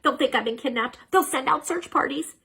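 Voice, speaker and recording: Woman voicing an excitable little superhero hamster. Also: high-pitched, fairly quick, vocal fry, voice pushed up. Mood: worried. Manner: melodramatic, fretful. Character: small excitable anxious male superhero pet hamster.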